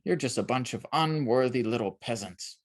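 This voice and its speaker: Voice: medium pitch, lively intonation, moderate pace, lightly acted. A narrator voicing a sinister, sneering old man, a shadowy storybook villain who taunts and accuses with mocking questions. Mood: accusing.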